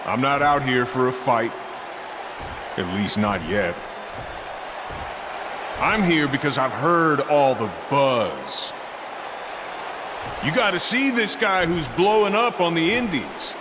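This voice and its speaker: A man's voice: medium pitch, very animated, takes it slowly, long pauses. A man playing a confident veteran star wrestler delivering a theatrical challenge in a wrestling game. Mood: taunting, building. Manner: theatrical, repetitive build-up. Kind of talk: in-ring wrestling promo. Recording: crowd and noise in arena. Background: arena crowd.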